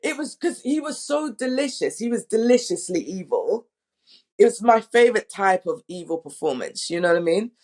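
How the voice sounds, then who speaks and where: A woman's voice: medium pitch, big pitch swings, moderate pace, darkish tone. Emphatic woman director telling stories. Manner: emphatic, informal, acts out quotes. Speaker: audio drama director. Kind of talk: candid, animated anecdote telling.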